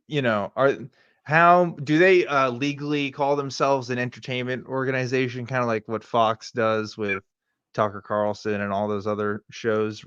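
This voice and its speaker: A man's voice: fairly low pitch, fairly bright tone, clear, resonant tone, no room sound, dull audio. A man hosting a live show, chatting casually and joking in a sarcastic manner. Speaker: host of a live weekly show. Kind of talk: casual, joking commentary chat.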